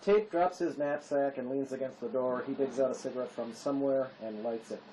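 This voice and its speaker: Narrator for a script reading, mid-pitched voice, stage directions, clear background noise. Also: fairly quick, warm tone, low-quality recording.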